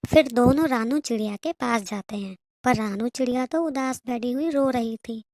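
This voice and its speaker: Mellow woman narrating a children's story. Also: fairly high pitch, moderate pace, dry recording, studio quality. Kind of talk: scripted cartoon story narration.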